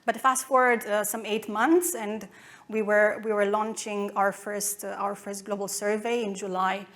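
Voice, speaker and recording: Woman, romanian accent, conference talk, even flat tone, halting with fillers. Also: mid-range voice. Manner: hesitant, many fillers and restarts. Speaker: conference speaker.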